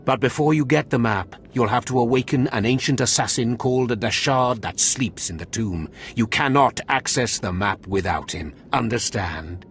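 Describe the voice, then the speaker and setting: A man's voice: mid-range voice, moderate pace, few pauses, fairly bright tone, a little hiss or hum, natural voice. An actor voicing a harsh male overseer of a Sith academy, contemptuous and commanding. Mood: scornful. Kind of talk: game voice acting.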